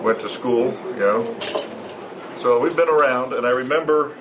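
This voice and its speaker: An older man reminiscing informally to a gathering as a long-time club member, halting and pausing as he goes. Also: medium pitch. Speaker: founding member of a hobby club. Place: restaurant luncheon room. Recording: noisy room, air conditioning hum.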